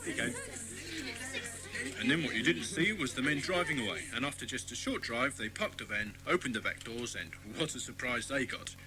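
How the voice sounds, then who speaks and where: A man's voice: medium pitch, animated, brisk, music in the background, close to an everyday voice. A man with a British accent playing a genial, formal police inspector in a children's audio drama. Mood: genial, grateful. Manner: formal, polite, jovial. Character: grateful, genial adult male police inspector.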